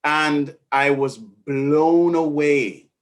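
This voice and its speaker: A man with a Jamaican accent hosting an interview, enthusiastic and animated, mixing patois into his talk. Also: on the high side, very slow. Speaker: interview host. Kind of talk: conversational interview.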